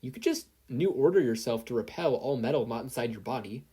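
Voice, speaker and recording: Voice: mid-range voice, expressive, a little fast, mellow, slightly rough. A performer voicing an assured, easygoing female superhero mentor with a teasing manner in an audio story. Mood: breezy.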